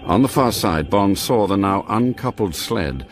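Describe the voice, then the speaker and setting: An actor narrating an action spy thriller for radio drama in a measured, deliberate storytelling manner. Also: male voice, lowish voice, expressive. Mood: grave suspense. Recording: loud dramatic music under narration.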